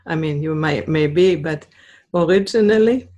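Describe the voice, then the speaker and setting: An easygoing voice of middle pitch joins a group conversation. The speaker asks curious questions and adds brief thoughts in a casual way. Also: normal speed, a little mellow, muffled recording. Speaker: discussion participant.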